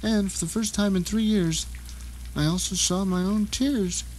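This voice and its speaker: A man plays a bitter, vindictive captive telling his own story in an audio drama, in a notably high male voice. The delivery is melodramatic and sardonic, each phrase placed deliberately, with a faint rain-like noise behind it. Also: steady tone. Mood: sardonic, emotional. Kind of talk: dramatic first-person audio drama monologue.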